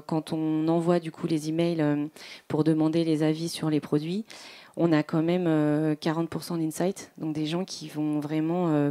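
A woman in her thirties speaks as a conference panelist into a handheld mic. Her voice is low, soft-edged and mellow, with little rise and fall, and her phrasing is informal and a bit hesitant. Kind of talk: conference case-study talk. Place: indoor conference stage. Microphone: handheld microphone held close.

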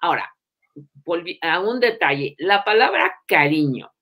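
A woman's voice: fairly low pitch, sing-song, unhurried, fairly bright tone. An elderly woman, interviewing conversationally over a video call. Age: 70s or older. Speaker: interviewer, host. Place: book-lined room at home, on a video call.

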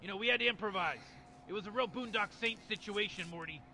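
A fast-talking, smug old mad scientist, played by a man, rambling through his schemes with dismissive confidence. His voice sits fairly high and rushes along. Loud traffic and street noise run underneath. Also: vocal fry, heavily acted. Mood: offhand. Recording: noisy outdoor recording with traffic.

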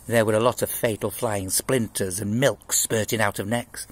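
A man in his sixties with a husky voice, reading a children's novel aloud in an expressive storytelling style, outdoors. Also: lowish voice, normal speed. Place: outdoors in a garden. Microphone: phone at arm's length.

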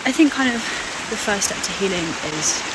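A woman's voice: mid-range voice, animated, brisk. A woman vlogging outdoors on a hike, talking in a casual, reflective way. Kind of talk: casual reflective chat while hiking. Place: outdoors on a hiking trail. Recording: very noisy outdoor wind and water sound.

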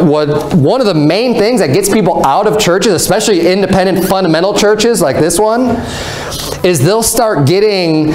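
A man's voice: high voice, a little mellow. An American man in his thirties preaching as a pastor, explaining scripture in an informal, conversational way with repeated phrases. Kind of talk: sermon, conversational Bible teaching. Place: small indoor room with block walls, at a lectern. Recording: echoey room, mic at a distance.

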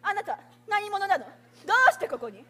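An actress playing a haughty, imperious young prosecutor on stage, scolding in clipped commands. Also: female voice, extremely high pitch, very animated, a little fast, bright, forceful tone, heavily acted. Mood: suspicious. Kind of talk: theatrical stage acting. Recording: noisy theatre stage recording.

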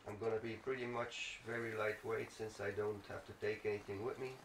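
A man's voice: on the low side, little pitch movement, fairly quick, a bit bright. A man giving casual, rambling gameplay commentary, thinking aloud as he plays. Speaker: let's play gamer. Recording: roomy, distant mic, faint noise.

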